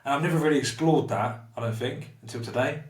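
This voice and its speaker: A man in his thirties with a Portsmouth accent speaks candidly as a podcast guest, circling back on his words as he thinks. His voice sits at a middling pitch and carries from a few feet off the mic, in a room with noticeable echo. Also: fast. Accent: Portsmouth English. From England. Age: 30s. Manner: open and reflective, with frequent restarts. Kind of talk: candid personal conversation. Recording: roomy, somewhat distant mic.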